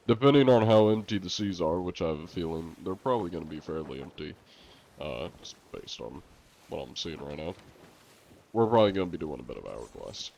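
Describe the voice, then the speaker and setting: A man chatting casually to viewers on a live game stream, congested and coughing now and then, chatty and quick to laugh. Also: deep voice, big pitch swings, vocal fry, a little mellow, highs cut off, a little hiss or hum.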